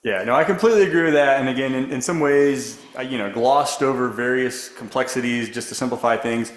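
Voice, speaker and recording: A man's voice: medium pitch, a little fast. Rambling man answering in an echoey room. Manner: rambling, self-correcting, many restarts. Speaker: guest lecturer answering questions. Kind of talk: academic Q&A answers. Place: lecture room.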